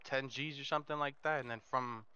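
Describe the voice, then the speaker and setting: A man playing a slangy, halting mafia underling in a crime roleplay game, over slightly dull lo-fi voice chat. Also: medium pitch, steady tone, pushed and bright, natural voice. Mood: explaining, frank. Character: street-talking mafia underling who manages the family business.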